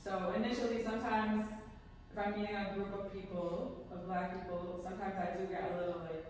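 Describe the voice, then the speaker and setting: A young woman co-hosting a podcast, speaking in a reflective, soft-spoken way and laughing often. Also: medium pitch, flat delivery, a little fast, slight background noise. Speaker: podcast co-host. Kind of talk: casual chat. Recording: echoey room, far from mic.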